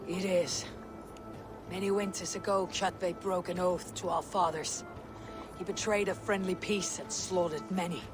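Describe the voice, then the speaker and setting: An actress playing a fierce, loyal young Viking warrior in a video game, speaking tersely and solemnly. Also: female voice, on the low side, fairly even intonation, a little slow, over music, close to an everyday voice. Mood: wary, solemn. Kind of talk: video game cutscene acting.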